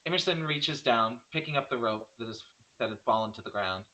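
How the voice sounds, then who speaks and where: A man's voice: medium pitch, brisk, pushed and bright, low-quality recording. A man narrating stage directions in an amateur screenplay table read, sometimes stumbling and correcting himself.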